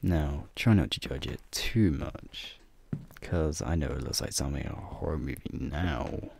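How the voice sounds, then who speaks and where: A man playing a flirty, teasing werewolf guide in an ASMR roleplay, talking softly and playfully to the listener in a gravelly, breathy voice. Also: moderate pace, heavily acted. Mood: teasing, reassuring. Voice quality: deep, gravelly, breathy low voice. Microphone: close microphone.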